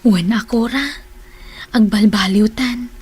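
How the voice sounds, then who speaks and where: Higher-pitched, friendly village neighbour. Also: female voice, slow pace, darkish tone, a little hiss or hum. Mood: reconciling. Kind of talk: radio drama acting.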